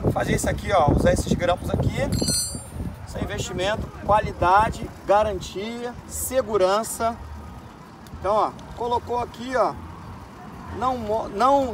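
High-voiced man giving a sales pitch. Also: distant mic, very noisy. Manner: salesy, pitching safety and quality. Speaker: exhibitor demonstrating electrical connectors. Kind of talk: casual sales demonstration. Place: outdoor campground event.

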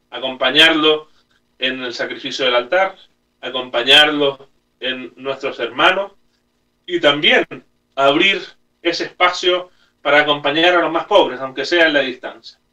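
A middle-aged man, a priest, giving a homily and prayers at Mass in earnest phrases broken by pauses. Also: a little high, pushed and bright, recorded from a distance. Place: small room at home, indoors. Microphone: camera a few metres away.

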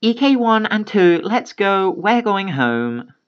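A storyteller voicing a shrill, scornful entitled mother who mocks a child, on a slightly dull recording. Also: sing-song, slow. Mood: curt.

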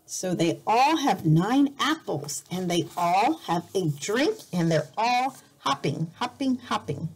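Very slow, expressive woman reading to children. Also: mid-range voice, breathy edge, poor audio. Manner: deliberate, counting out and repeating words, expressive. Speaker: volunteer English teacher reading a picture book. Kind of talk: slow read-aloud lesson for young learners. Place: indoor room, at a desk.

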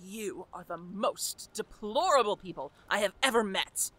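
Woman as indignant young seafarer, animated voice. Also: mid-range voice, a little slow. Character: indignant, strong-willed young seafaring sister in fantasy world. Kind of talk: audio drama acting.

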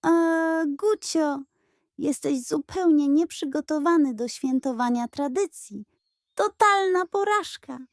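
A woman's voice: takes it slowly, dry recording, clean studio sound. Woman doing a huffy greedy pug dog. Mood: indignant. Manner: whiny and huffy, high and overexcited. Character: greedy, lazy, indignant male pug dog.

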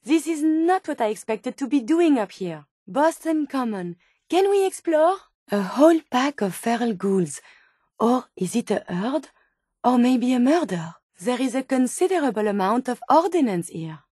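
A woman's voice: fairly high pitch, very animated, a little slow, dark tone, slightly rough, no room sound, clean studio sound, heavily acted. A woman voicing a polite, earnest female robot scientist companion in a video game, with a French accent and precise, formal, inquisitive speech. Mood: curious.

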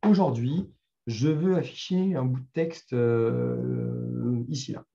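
A man's voice: mid-range voice, slow pace, gravelly, dark tone, highs cut off. A middle-aged man with a French accent giving a conversational talk at a developer meetup, full of fillers and restarts. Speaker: meetup speaker. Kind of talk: live talk with screen demo.

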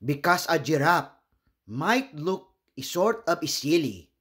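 Slow, lively high-pitched male storyteller. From Philippines. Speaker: host reading children's books aloud. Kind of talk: read-aloud storytelling for children.

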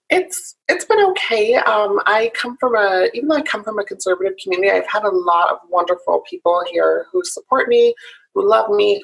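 Gravelly, husky young woman on a video call. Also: mid-range voice, rough recording. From South Carolina, raised in Illinois. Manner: earnest and open, with frequent ums. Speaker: interview guest, activist. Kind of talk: casual video-call interview. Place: small home room.

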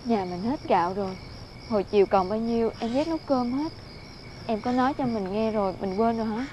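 A woman playing a young, gentle, hardworking peasant wife in a televised fairy tale, with a Southern Vietnamese accent and a soft pleading manner. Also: a little high, a little fast, dull audio, slight background noise, close to an everyday voice. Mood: apologetic.

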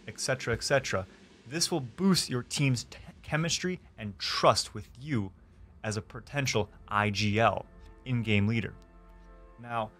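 A young man, a gaming YouTuber, delivering a scripted how-to guide in a deliberate, emphatic way, listing his points into a close studio mic. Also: mid-range voice, a bit bright. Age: young adult. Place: small home streaming room, indoors. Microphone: close studio mic on desk. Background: background music.

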